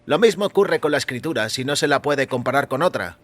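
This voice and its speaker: A man's voice: mid-range voice, animated, fast talker, a bit bright, no room sound, pitched above the performer's own voice. A man voicing an emphatic, questioning male travelling salesman in an audio story. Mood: incredulous. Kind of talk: audio fiction character voice.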